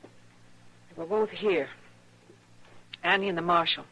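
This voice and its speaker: An actress playing an anxious but defiant American frontier wife in a western radio drama. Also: female voice, lowish voice, slow, sharp tone, heavily acted. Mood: tense, defiant. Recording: noisy old radio recording.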